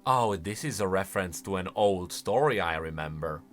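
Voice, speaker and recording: A man's voice: low voice, animated, moderate pace, over music. A man hosting a game lore channel with casual reaction commentary, musing aloud and leaning hard on key words.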